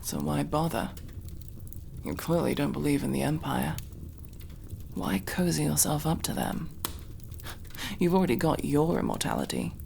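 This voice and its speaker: Woman acting a gravelly, low-voiced menacing elf woman. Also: sing-song, darkish tone, slight background noise, heavily acted. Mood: scornful, probing. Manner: taunting, drawn-out delivery dropping into breathy whispers. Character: menacing masked elf woman, mocking and defiant interrogator. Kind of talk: ASMR roleplay acting.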